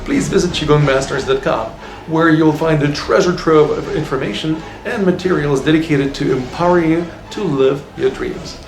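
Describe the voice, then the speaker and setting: A man's voice: a little high, moderate pace, creaky. A male host reading an earnest, promotional show intro. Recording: roomy with music behind. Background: music.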